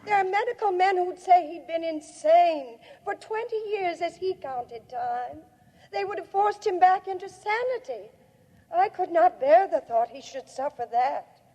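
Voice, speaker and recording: High-voiced, solemn alien woman, cavernous reverb. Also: animated, mellow. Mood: sorrowful, defiant. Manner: slow, solemn, measured delivery. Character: grieving alien woman of a hidden species, tender, proud. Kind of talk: 1950s radio drama acting. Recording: muffled old radio recording, long cavernous reverb.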